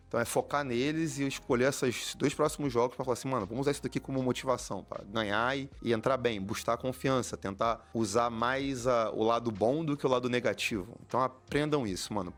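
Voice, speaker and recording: A man from Brazil, a coach, explaining a match to viewers in an informal, slangy way with frequent repeats. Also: medium pitch. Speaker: esports coach.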